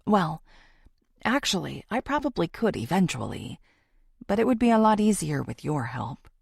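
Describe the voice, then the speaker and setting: A woman narrator plays an earnest female true-crime podcaster in a thriller audiobook. She is confiding and theatrical, her lowish voice swinging expressively and breaking into fry. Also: very animated, dry recording, studio quality, heavily acted. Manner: confiding, theatrical, deliberate pauses.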